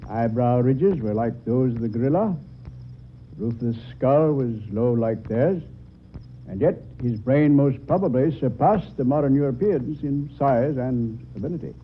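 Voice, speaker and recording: A man's voice: mid-range voice, moderate pace, close to an everyday voice. An actor playing a learned male doctor in an old-time radio drama, lecturing precisely about his field. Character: learned male doctor, expert on ancient human bones. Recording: muffled, noisy old radio recording.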